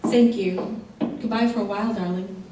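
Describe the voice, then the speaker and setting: Actress doing clingy wife, old radio drama, mellow voice, distant from mic. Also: female voice, medium pitch, steady tone, moderate pace, close to an everyday voice. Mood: pleading. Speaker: stage actor in an old-time radio play. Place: theater stage, live performance. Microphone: stage stand mics, distant camera. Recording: echoey distant stage, faint noise.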